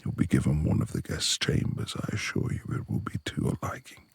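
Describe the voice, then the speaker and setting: A man playing a brooding, reclusive beast-like lord in a romantic ASMR roleplay, proud and courteous with a quick temper, speaking in formal, old-fashioned phrases with a gravelly, husky voice. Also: a little hiss or hum, close to an everyday voice. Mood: courteous, teasing.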